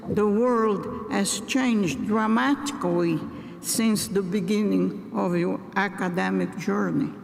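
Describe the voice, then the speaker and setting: An older woman from Brazil giving a graduation address, speaking in a deliberate, halting way with pauses and restarts. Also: medium pitch, gravelly. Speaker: commencement speaker. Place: large ceremony venue. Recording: reverberant hall with faint noise.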